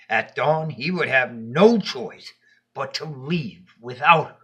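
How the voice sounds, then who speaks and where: A man tells a bedtime story with a husky voice pitched on the high side. His delivery is unhurried and dramatic, with wide swings in pitch. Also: a bit bright. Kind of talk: expressive bedtime story narration.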